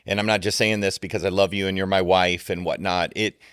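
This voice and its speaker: A man's voice: deep voice, steady tone, fairly quick, fairly bright tone, smooth, no echo, clean studio sound. A man co-hosting a podcast, talking sincerely and supportively, often repeating a word as he starts a thought. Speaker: podcast co-host. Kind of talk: casual podcast chat.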